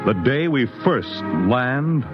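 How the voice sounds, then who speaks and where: A man's voice: mid-range voice, animated, takes it slowly. A man with an American accent narrating in a grand, portentous 1950s radio announcer style. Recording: dull vintage radio under loud music.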